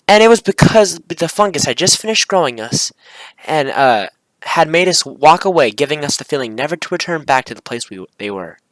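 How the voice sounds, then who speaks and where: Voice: mid-range voice, fairly bright tone, no room sound, poor audio. A video creator reading their own script aloud, stumbling and correcting themselves, with casual slangy asides. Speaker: video creator and amateur visual novel maker.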